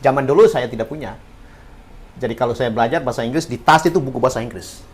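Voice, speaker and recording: A man's voice: medium pitch, quick pace, breathy edge. A man, an English teacher and former interpreter, talking earnestly and encouragingly in a podcast interview.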